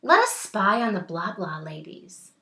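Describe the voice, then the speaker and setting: A woman voicing an eager, restless little brother from a storybook, with an excitable, pleading delivery. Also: mid-range voice, measured pace, roomy sound, heavily acted.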